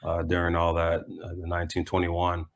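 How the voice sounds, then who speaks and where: A man's voice: low-pitched, completely flat delivery, normal speed, gravelly, slight background noise. A middle-aged man, a coach and former player, answering interview questions casually and reflectively, often repeating phrases as he goes.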